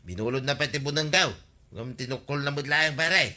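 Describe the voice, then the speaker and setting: A man's voice: fairly high pitch, moderate pace, background noise, close to an everyday voice. An actor playing an aggrieved, gossipy village man in an Ilocano radio comedy drama, with an Ilocano accent and a complaining, exclamatory manner. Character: aggrieved, gossipy village man demanding his lost things back.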